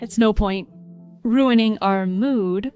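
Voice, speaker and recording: A woman's voice: mid-range voice, slow pace, warm tone, clean tone, soft background music, lightly acted. A woman playing a weary, aggrieved young daughter-in-law in a cartoon family story. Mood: resentful.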